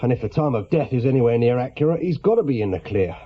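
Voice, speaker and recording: English male sergeant actor, fair-minded and mild, mid-pitched voice, lightly lo-fi radio drama. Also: animated, quick pace, natural voice. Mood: measured. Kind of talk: British radio drama acting.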